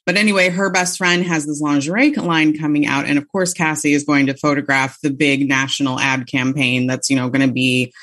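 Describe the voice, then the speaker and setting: Low-voiced chatty woman from Chicago. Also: fairly even intonation, fairly quick, hardly any pauses, fairly bright tone. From Chicago area. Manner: chatty, laughs often, many restarts. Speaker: author guest. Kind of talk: casual interview chat.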